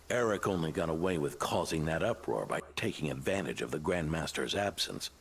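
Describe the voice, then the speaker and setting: An actor playing a weary old veteran knight in a video game, grave and dutiful, with a gravelly, solemn, measured delivery. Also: male voice, very deep voice, expressive, fairly bright tone, a little hiss or hum, full character voice. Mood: indignant. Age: older.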